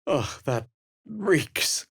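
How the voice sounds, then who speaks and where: An actor playing a haughty, self-assured young adventurer in a fantasy video game, speaking in a measured, literary manner. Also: male voice, a little high, sing-song, vocal fry, slightly rough, no echo, clean studio sound, heavily acted. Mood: cool, brooding. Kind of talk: video game character narration and barks.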